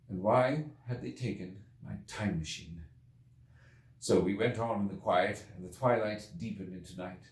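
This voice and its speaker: A man voicing an earnest Victorian gentleman time traveler recounting his ordeal in a storybook reading, formal, brooding and deliberate. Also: mid-range voice, natural voice. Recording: echoey room, distant from mic.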